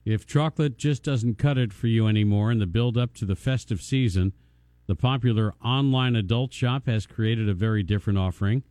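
A man's voice: on the low side, brisk, darkish tone, no room sound. A man co-hosting a radio show, reading a tabloid story aloud in a matter-of-fact way.

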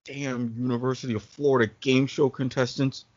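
A man speaking as a podcast panelist in a casual debate, with a deliberate, emphatic and halting delivery. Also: medium pitch, little pitch movement, darkish tone, dry recording, dull audio.

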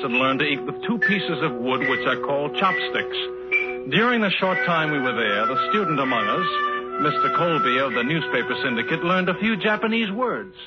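An actor playing a plain-spoken American race driver who narrates his journey in a 1950s radio drama. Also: mid-range voice. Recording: dull 1950s radio recording, music behind.

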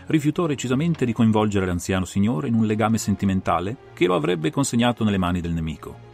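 A man performing an analytical gentleman detective recounting a solved case in a dramatized audiobook, with measured, theatrical phrasing. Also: lowish voice, animated, creaky, faint music behind. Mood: measured, grave. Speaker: audiobook reader and interpreter.

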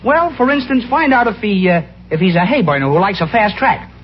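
An actor playing a slangy, fast-talking wise-guy boyfriend in a 1950s radio comedy. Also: high-pitched, very animated, muffled recording, a little hiss or hum. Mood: wisecracking. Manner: slangy, wisecracking. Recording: old radio recording with loud studio audience.

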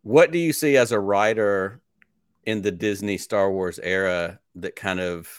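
A man in his forties talks with a low, steady voice, recorded close and dry with no room sound. He chats enthusiastically as the host of a podcast, rambling his way into long-winded questions. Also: unhurried, clean tone, no room sound. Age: 40s. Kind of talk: casual interview chat.

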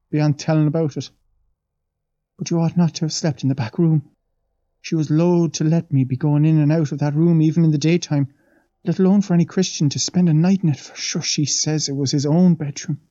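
A man's voice: fairly high pitch, dark tone, dry recording, voice pushed up, close to an everyday voice. A man reading an audiobook voices a superstitious middle-aged Irish housemaid who chatters with fearful, pious asides, on a dull, dry recording.

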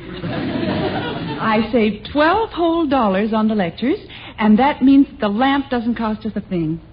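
A woman's voice: fairly high pitch, animated, takes it slowly, a faint crowd. An actress playing an earnest, sweetly stubborn suburban wife and mother in a 1950s radio sitcom. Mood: insistent. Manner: earnest, insistent. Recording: dull, noisy vintage radio broadcast.

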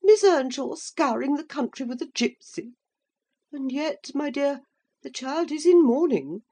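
A woman voicing a haughty, easily shocked elderly gentlewoman in an audiobook. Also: sits high, expressive, measured pace, voice pushed up. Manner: indignant, disapproving.

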